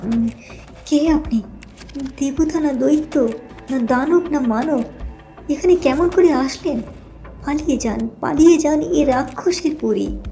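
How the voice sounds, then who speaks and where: A woman playing a young captive princess, anxious yet gentle, in an audio fairy tale. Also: sits high, slow pace, pauses often, faint music behind. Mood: startled, anxious.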